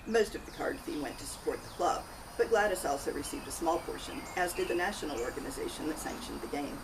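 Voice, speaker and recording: A woman's voice: sits low, animated, quick pace. Woman reading a novel, distant noisy recording. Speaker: author reading aloud from own novel. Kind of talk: first-person audiobook-style narration of a cozy mystery. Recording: distant mic, loud outdoor noise, birds, crickets.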